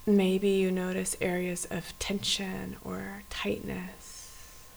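A woman guiding a body scan meditation in a soft, often near-whispered voice, with gentle, unhurried phrasing and pauses. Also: mid-range voice, completely flat delivery, gravelly, rough recording. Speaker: meditation guide. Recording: faint background hiss.

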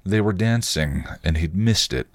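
A man's voice: low-pitched, moderate pace, no room sound. A man hosting a podcast, narrating fan fiction as a storybook reading.

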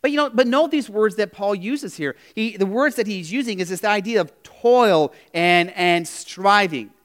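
A man with a high voice preaches a sermon to his congregation. He talks in a loose, conversational way, restarting phrases and throwing questions out to the listeners. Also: normal speed, fairly bright tone. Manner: conversational preaching with frequent "you know" and restarts. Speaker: pastor.

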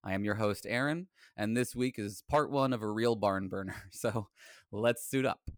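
Smooth-voiced male podcast host. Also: fairly low pitch, normal speed, no room sound, clean studio sound. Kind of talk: casual interview.

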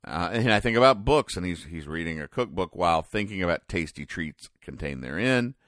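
Deep-voiced man, deadpan and halting. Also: dry recording, studio quality. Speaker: podcast host. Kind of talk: casual comic-strip recap commentary.

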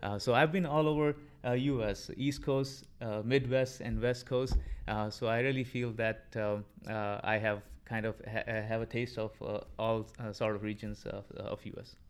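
A man in his thirties from Pakistan with a Pakistani accent, speaking haltingly with frequent fillers as a podcast interview guest. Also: lowish voice, fairly even intonation, clean tone. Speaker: interview guest, political commentator.

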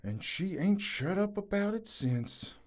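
A man's voice: high-pitched, lively intonation, a little slow. A man playing a long-suffering, easygoing fellow, dry and folksy, in an audio drama. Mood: weary, wry.

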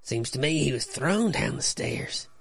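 A man acts out a grizzled sheriff in a comic-book radio play. The voice is creaky and rough, rising and falling freely as he spins a grim, folksy yarn. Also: on the high side, lively intonation, unhurried, no room sound, exaggerated voice. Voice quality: gravelly, raspy. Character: grizzled small-town sheriff, grim old storyteller.

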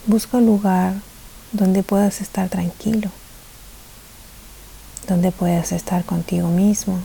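Very mellow, slow, creaky woman guiding meditation. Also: medium pitch, level tone, rough recording. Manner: soft-spoken, often drifting into breathy near-whisper; soothing, gentle phrasing with pauses. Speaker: meditation guide. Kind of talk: guided meditation. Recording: faint hiss behind the voice.

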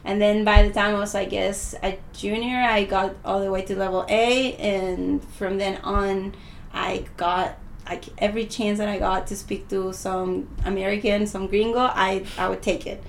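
A woman's voice: mid-range voice, flat delivery, moderate pace, creaky, slight background noise, low-quality recording. A woman from southern Chile, a native Spanish speaker in her thirties, chatting in an enthusiastic, extroverted way as a podcast guest. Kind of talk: casual conversational interview, personal storytelling.

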